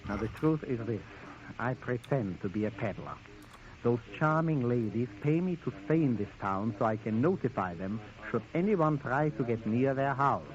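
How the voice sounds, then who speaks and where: Actor as a florid Italian peddler, muffled old radio. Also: male voice, medium pitch, lively intonation, normal speed, close to an everyday voice. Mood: cagey, mercenary. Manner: florid, theatrical, dramatic phrasing. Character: wily, money-hungry Italian male peddler and informant. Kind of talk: 1940s radio drama acting. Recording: muffled old radio broadcast with crowd noise.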